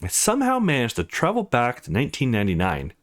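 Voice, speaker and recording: A man's voice: mid-range voice, huge pitch swings, quick pace. A man voicing an earnest, troubled teenage boy in a visual novel, serious and insistent as he explains himself. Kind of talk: visual novel character reading.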